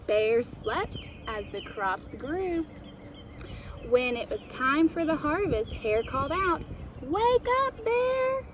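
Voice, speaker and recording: A woman narrates a children's storybook with a high voice. She takes her time, reading expressively and explaining like a teacher. Clear ambient noise sits in the recording. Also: lively intonation, slow. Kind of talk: storytime read-aloud narration.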